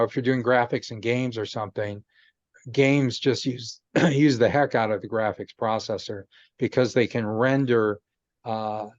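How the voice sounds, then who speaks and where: A man's voice: medium pitch, dry recording. Man explaining patiently over a dull call line. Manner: patient, explanatory, many fillers and restarts. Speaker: guest explaining chip technology. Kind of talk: casual chat on a video call. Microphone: video call microphone.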